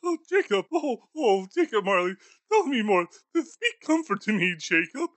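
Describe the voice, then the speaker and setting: A man voicing a frightened, pleading old miser in a high, wavering, whimpering voice for an audiobook. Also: big pitch swings, normal speed, a bit bright, no room sound. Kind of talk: audiobook character voice.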